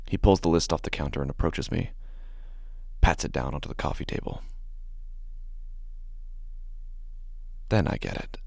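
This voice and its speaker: A man performing a horror podcast monologue as an obsessive, unravelling office worker, speaking with deliberate, intense pauses. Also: low-pitched, creaky, no echo, studio quality, heavily acted. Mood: tense, simmering. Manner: deliberate, intense, pausing and repeating words. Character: obsessive, increasingly unravelled male office worker narrating his dread. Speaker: horror fiction narrator. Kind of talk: dramatic first-person horror monologue.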